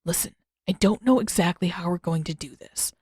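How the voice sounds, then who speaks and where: A woman's voice: lowish voice, a little fast, a little mellow, husky, no room sound. A woman reader acts a teenage prince in a fantasy audiobook. He is blunt and protective, speaking with urgency and dry understatement. The recording has very little room sound.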